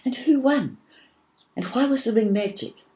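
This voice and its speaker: Woman as a patient, encouraging big-sister girl, lifted pitch. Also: lively intonation, moderate pace, dark tone, natural voice. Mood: curious. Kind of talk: children's storybook dialogue.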